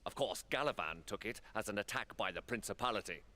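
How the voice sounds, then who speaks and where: A man's voice: on the low side, fast, pushed and bright. A man playing an earnest, harried council statesman in a fantasy game, speaking with weary urgency. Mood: exasperated, grave. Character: earnest, harried male council statesman under political pressure.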